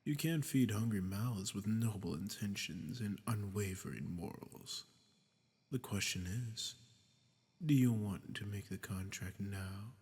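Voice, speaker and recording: A man voicing a slow, smug, gravelly archdemon. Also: on the low side, a little mellow. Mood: smug menace. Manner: slow, menacing, condescending, dropping into breathy murmurs. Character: sadistic, smug male archdemon, commanding and condescending. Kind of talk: scripted audio roleplay addressed to the listener. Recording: long cavernous reverb.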